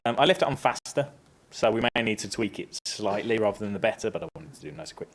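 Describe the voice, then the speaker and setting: Upbeat man giving a live software demo. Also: on the low side, fast, gravelly. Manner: casual and upbeat, steps through clicks. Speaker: presenter. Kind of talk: live software tutorial talk. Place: conference stage.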